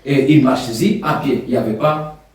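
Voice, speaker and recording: A man's voice: mid-range voice, normal speed. Man preaching in echoey hall. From Rwanda. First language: Kinyarwanda. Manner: rises loud and emphatic on key points, drops soft in prayer. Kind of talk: sermon. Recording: echoey room, distant mic.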